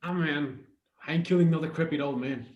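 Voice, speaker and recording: A streetwise gang member played by a man in a script read. His voice sits a little high and echoes in the room. He talks in slang, tough but principled. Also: brisk, a little mellow, dull audio, lightly acted. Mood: guarded. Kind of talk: screenplay table read.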